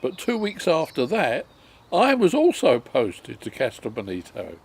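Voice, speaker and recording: Slow-speaking man in his nineties, halting. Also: a little high, wildly swooping pitch, vocal fry, slightly rough. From England. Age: 90s. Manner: unhurried, halting with many ums and restarts. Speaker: interviewee. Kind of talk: reminiscing life story.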